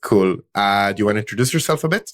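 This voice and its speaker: Podcast host guy, very deep voice, trips over his words a bit. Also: animated, quick pace. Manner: stumbling, repeats words when asking questions. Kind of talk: casual interview talk.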